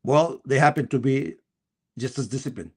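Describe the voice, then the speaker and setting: A man with a Costa Rican accent, a native Spanish speaker, hosting a live sports discussion in a halting, repetitive way with emphatic bursts. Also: mid-range voice, slightly rough. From Costa Rica. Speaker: sports talk show host.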